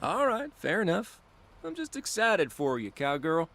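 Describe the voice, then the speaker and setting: A lively, folksy frontier lawman. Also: sits high, measured pace, vocal fry, exaggerated voice. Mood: eager, encouraging. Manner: animated, encouraging, colloquial. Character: genial, folksy frontier lawman in a post-apocalyptic western. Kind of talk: video game cutscene acting. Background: background music.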